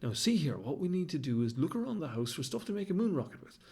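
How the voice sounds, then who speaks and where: A man doing a weary, dry-witted cat, husky. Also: fairly high pitch, sing-song, fast talker, mellow, heavily acted. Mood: exasperated. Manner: dry, exasperated, rhyming. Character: sensible, weary male cat, dryly exasperated. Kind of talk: storybook character voice.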